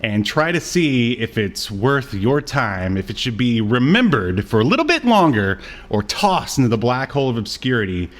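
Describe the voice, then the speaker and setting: A man in his late thirties chats casually as a podcast co-host. He has an ordinary middle-pitched voice and a wry, joking way of talking, like someone bantering with an old friend. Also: very animated, moderate pace, few pauses. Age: late 30s. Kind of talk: casual chat about old cartoons.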